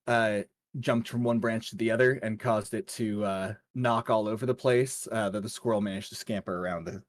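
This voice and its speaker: A man running a tabletop game as game master, improvising narration and pausing often mid-sentence. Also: medium pitch, no room sound.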